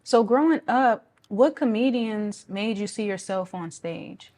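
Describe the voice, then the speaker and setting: A woman interviewer asking questions in a measured way, with pauses and fillers. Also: mid-range voice, a little mellow.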